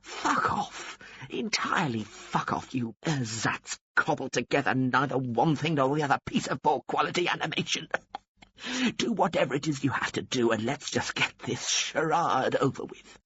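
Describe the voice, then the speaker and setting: A man's voice: fairly high pitch, animated, slow pace, slightly rough, no room sound, dull audio, voice pushed up, full character voice. A man voicing a dying, cantankerous old woman who runs a religious refuge, blunt and scornful. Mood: exasperated.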